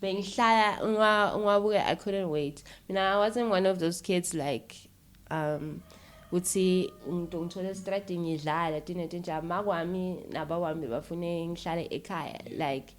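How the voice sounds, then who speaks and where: South African woman, slightly low voice. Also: moderate pace. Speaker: interview guest, actress and filmmaker. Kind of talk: casual conversational storytelling.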